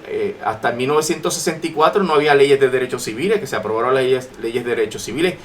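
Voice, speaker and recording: A man's voice: fairly high pitch, fairly bright tone. A man with a Puerto Rican accent giving emphatic political commentary as a guest on talk radio, repeating phrases for weight. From Puerto Rico. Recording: noisy AM radio talk recording.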